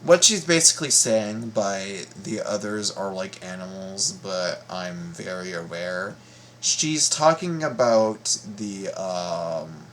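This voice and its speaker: A man giving casual reaction commentary, explaining things in a halting, repetitive way. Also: low-pitched, a bit bright, slight background noise. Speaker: reaction video host.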